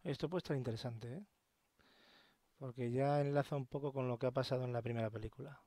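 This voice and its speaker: Gravelly Spaniard commenting on a game. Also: male voice, medium pitch, fast, dark tone, no echo. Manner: chatty, exclamatory. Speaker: gameplay commentator. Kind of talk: casual gameplay commentary.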